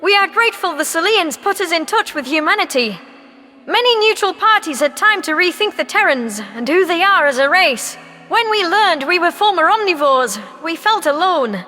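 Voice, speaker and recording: A man's voice: very high voice, animated, moderate pace, sharp tone, voice pushed up, heavily acted. A man voicing an earnest, grateful alien ambassador making a formal speech in a sci-fi audio story, with long hall reverb.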